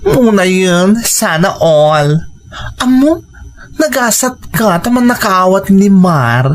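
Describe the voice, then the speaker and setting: Expressive, teasing female friend acted out, very animated. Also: medium pitch, slow pace. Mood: teasing, incredulous. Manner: expressive, exclaiming. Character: chatty, teasing female hotel co-worker and candid friend. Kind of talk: Ilocano audio drama acting.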